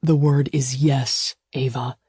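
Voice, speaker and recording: A woman voicing a fierce, possessive male lover in a romance audiobook, with a low growl and an emphatic, insistent delivery. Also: fairly even intonation, slow, warm tone, breathy edge, no room sound, clean studio sound, full character voice. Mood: commanding. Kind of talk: audiobook romance character dialogue.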